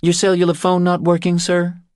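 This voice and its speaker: A man voicing a courteous, briskly official woman posing as a federal agent in audiobook dialogue. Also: high voice, monotone, darkish tone, no echo, studio quality, pitched above the performer's own voice, close to an everyday voice.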